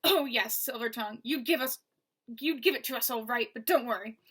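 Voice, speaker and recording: A woman voicing a menacing male henchman with a rasping, taunting voice. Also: fairly high pitch, brisk, a bit bright. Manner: sneering, taunting.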